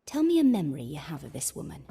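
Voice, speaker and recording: A low, husky dream seer. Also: female voice, huge pitch swings, warm tone, heavily acted. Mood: calm, instructing. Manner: measured, instructing. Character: calm, solemn female dream seer, gently commanding. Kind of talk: video game dialogue.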